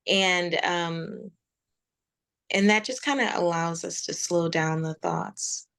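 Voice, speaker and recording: A woman in her forties speaking as a meditation teacher and interview guest, telling her story conversationally with reflective, halting pauses. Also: on the low side, steady tone, vocal fry, a bit bright, clear, resonant tone. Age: 40s.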